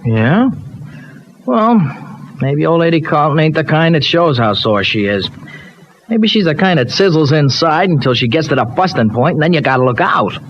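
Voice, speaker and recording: Guy acting eager streetwise american kid in old radio soap, slangy, bouncy pitch, hissy noisy recording. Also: lively intonation, normal speed, muffled recording, close to an everyday voice. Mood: earnest, uneasy. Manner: colloquial, folksy slang with loose grammar. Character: slangy, eager young male dairyman, streetwise but well-meaning.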